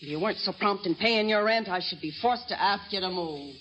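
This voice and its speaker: A woman's voice: mid-range voice, a little fast, close to an everyday voice. An actress playing a curt, sarcastic, complaining landlady in an old-time radio drama. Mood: indignant. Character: scornful, complaining landlady, an adult woman. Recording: dull, noisy old radio recording.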